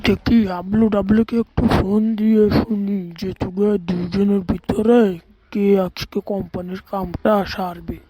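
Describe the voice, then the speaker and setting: A woman's voice as a bossy cartoon boss and parent with a mid-range feminine pitch. The delivery grumbles and scolds in the manner of a homemade cartoon dub. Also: steady tone, normal speed, dull audio, close to an everyday voice. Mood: fed up.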